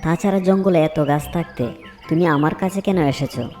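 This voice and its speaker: Guy doing a proud grumpy cartoon tree, mellow mid voice, blunt, faint music under it. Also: a little high, moderate pace, natural voice. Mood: haughty, dismissive. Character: haughty, grumpy male banyan tree. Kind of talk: children's fairy tale cartoon voice acting.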